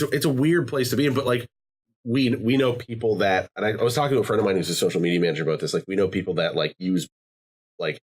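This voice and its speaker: Male podcast co-host, deep voice, rambling casual delivery. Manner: rambling, many fillers and restarts.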